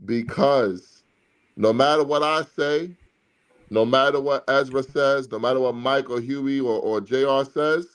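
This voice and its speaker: A man's voice: medium pitch, measured pace, clean tone. A man hosting an unscripted boxing debate, speaking emphatically and with self-assurance, repeating phrases for effect. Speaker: host of a boxing talk show.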